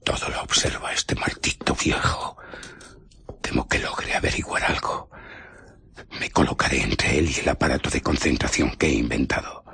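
An actor with a Castilian Spanish accent playing an obsessed, irritable scientist in a radio drama, brooding and intense. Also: male voice, very deep voice, normal speed, sharp tone, in a whisper, full character voice. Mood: brooding, secretive. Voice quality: husky. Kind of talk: radio drama acting, first-person narration.

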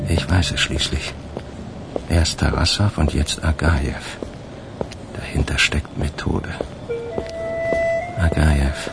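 A hunted, cynical man broods and questions himself in a radio play monologue. His voice is extremely low with a creaky, gravelly edge, set over prominent music. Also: heavily acted. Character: hunted male suspect, cynical, brooding, plotting to hide. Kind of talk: radio drama inner monologue.

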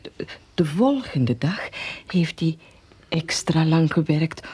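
Breathy, anxious old mother. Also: sits low, expressive, slow, darkish tone, full character voice. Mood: fretful, hesitant. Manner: hesitant, stammering. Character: anxious, naive old widowed mother, frail and grateful. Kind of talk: radio play acting.

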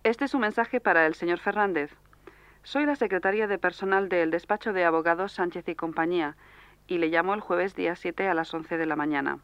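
A woman's voice: lowish voice, no room sound, close to an everyday voice. Dull recording, formal secretary played by a woman. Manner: formal, businesslike. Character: formal law-firm secretary leaving a message. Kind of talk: scripted answering-machine message. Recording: dull answering-machine sound.

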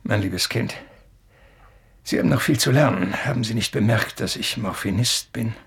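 Creaky husky old professor in a radio play, polite, evasive, a bit eccentric. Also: male voice, lowish voice, full character voice. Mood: weary, gentle. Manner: courtly, deliberate, slightly hesitant. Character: elderly eccentric male professor, courteous, evasive, morphine addict. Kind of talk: German radio play acting.

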